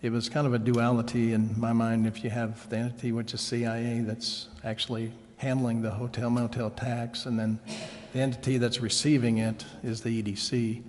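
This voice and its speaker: A man speaking as a council member at a public meeting, raising a question in a halting, tentative way. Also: mid-range voice, little pitch movement, a little mellow, slight background noise. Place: indoor council chamber. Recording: reverberant meeting room.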